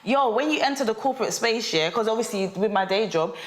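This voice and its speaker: Woman, slightly higher voice, frank and animated, echoey room. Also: a little fast. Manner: animated, frank, laughing, swears freely. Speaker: panel host. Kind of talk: casual panel discussion.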